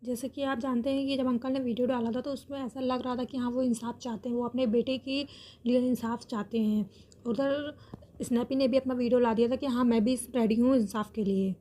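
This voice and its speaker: A woman's voice: fairly high pitch, steady tone, brisk, dark tone. A woman hosting a reaction video, giving opinion commentary to viewers in an earnest way, repeating phrases for emphasis. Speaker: reaction video host commenting on a family controversy.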